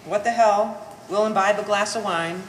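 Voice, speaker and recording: Bitter, bookish grown daughter played by an actress, mid-pitched, dry and pompous wording, live stage play in a reverberant hall. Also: female voice, fairly even intonation, moderate pace, natural voice. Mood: wry. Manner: pompous big words, dry and precise. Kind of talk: one-act stage play performance. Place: art gallery, live staged reading. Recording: reverberant gallery hall, faint hiss.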